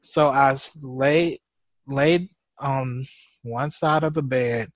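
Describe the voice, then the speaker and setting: Slow, laid-back congested man. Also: medium pitch, no room sound. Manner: laid-back, rambling, laughs often; slow, drawn-out talk. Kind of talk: casual chat.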